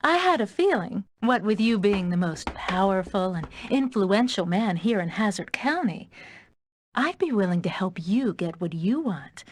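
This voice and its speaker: A sly, scheming young villainess with a mid-pitched, coaxing, confident delivery. The sound is dry and close, with no room around it. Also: female voice, lively intonation, measured pace, dry recording. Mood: coaxing flattery.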